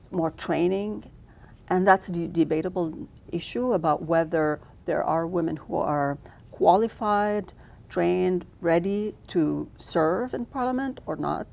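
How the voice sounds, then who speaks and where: A woman's voice: on the low side, vocal fry, darkish tone. A woman from Lebanon, a filmmaker being interviewed, answering thoughtfully with frequent restarts and fillers. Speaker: filmmaker guest.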